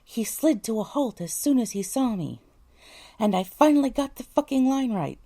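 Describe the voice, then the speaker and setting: A woman with a high, creaky voice reads first-person story narration with lively swings of pitch. She corrects herself as she goes, a little exasperated with her own slips. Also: moderate pace, a little hiss or hum. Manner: self-correcting, mildly exasperated at flubs. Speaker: voice actor, narrator.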